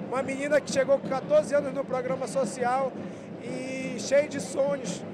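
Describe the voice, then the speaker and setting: A man's voice: extremely high pitch, level tone, moderate pace, background noise. A man, a coach, speaking emotionally and searching for words in an interview.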